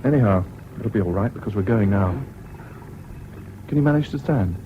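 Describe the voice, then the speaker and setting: An actor playing a level-headed, concerned British officer in a 1970s radio drama, measured and practical in manner. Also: male voice, fairly low pitch, animated, natural voice. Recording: muffled old radio recording with noise.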